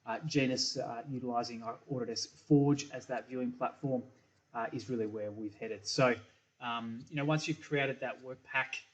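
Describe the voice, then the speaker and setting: A man's voice: medium pitch, fairly even intonation, normal speed. A middle-aged man with an Australian accent giving a recorded product presentation from a small room, full of ums and repeated words. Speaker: software company principal presenting. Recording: slightly dull webcam audio.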